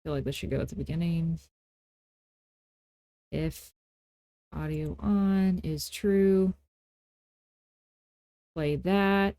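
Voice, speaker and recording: A woman hosting a casual software tutorial, talking in an informal, playful and self-deprecating way. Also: fairly low pitch, takes it slowly, long pauses, clear, resonant tone, no echo. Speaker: tutorial host.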